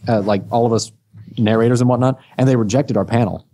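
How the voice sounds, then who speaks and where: A man's voice: mid-range voice, steady tone, fast, a crowd in the background. A man with an American accent, a guest voice actor and story narrator, chatting casually in a group video call with lots of fillers and restarts. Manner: rambling, with many fillers and restarts.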